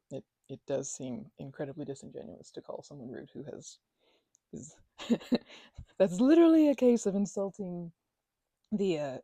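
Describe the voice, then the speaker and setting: A woman's voice: low-pitched, vocal fry, mellow, slightly rough, dry recording, heavily acted. A woman playing a chatty, playfully indignant housemate recovering from a migraine, rambling and teasing in an intimate ASMR roleplay. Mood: mock gracious. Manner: rambling, hesitant, teasing, mock-offended. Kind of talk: intimate ASMR roleplay, improvised-sounding conversation.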